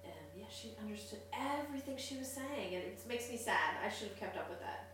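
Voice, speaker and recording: A woman's voice: on the high side, animated, fairly quick. A woman in casual conversation, talking informally. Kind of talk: casual chat. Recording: echoey, distant mic, clear noise and hum.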